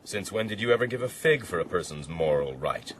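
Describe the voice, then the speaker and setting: An actor playing an educated, determined castaway in a radio drama, earnest and articulate. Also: male voice, low-pitched, lively intonation, fairly quick. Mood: defiant. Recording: sea and boat noise, music behind.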